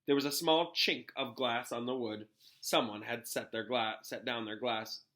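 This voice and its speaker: A man's voice: mid-range voice, steady tone, normal speed, sharp tone. A man reading a storybook aloud as a teacher would, narrating with occasional stumbles.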